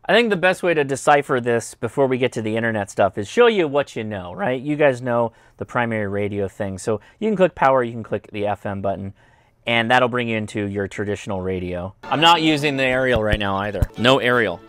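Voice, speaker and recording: American man, thirties, informal reviewer, explanatory, mid-pitched voice, very dry sound. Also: animated, brisk, fairly bright tone, no echo. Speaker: host, radio reviewer. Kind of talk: casual product review and demonstration. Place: indoors, home radio studio and workbench.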